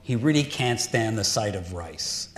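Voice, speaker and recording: A man's voice: fairly low pitch, lively intonation, measured pace. A man, a film director, answering questions on stage in an easygoing, joking manner. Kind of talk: Q&A panel answers. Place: auditorium stage. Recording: echoey hall with faint noise.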